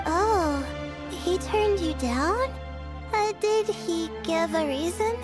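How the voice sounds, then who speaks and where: A woman's voice: very high voice, slow pace, music in the background. An actress playing a timid, polite young student girl in a video game, with a hesitant, questioning manner. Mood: curious, hesitant.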